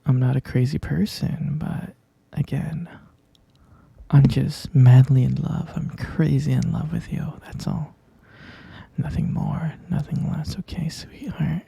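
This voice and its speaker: A man playing an obsessive, clingy captor lover in a whispered ASMR roleplay, cooing and coaxing with slow, breathy repetition. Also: a little high, steady tone, warm tone, no echo, heavily acted. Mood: insistent, defensive.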